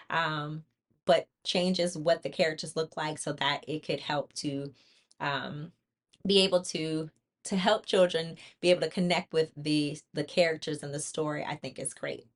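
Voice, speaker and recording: Casting: female presenter, somewhat low pitch, earnest conversational delivery, informal review style. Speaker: presenter reviewing a children's book. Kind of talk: informal talk to camera.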